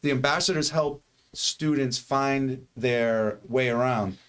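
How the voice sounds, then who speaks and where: A school head speaking casually and animatedly, with rhetorical questions and frequent restarts. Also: male voice, mid-range voice, slow pace, clear, resonant tone.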